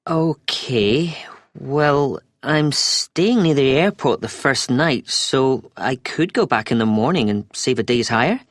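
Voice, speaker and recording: A woman's voice: a bass voice, lively intonation, takes it slowly, no echo, studio quality, exaggerated voice. A woman playing an agreeable, inquiring customer planning a trip in a scripted language-test dialogue, a little hesitant and questioning.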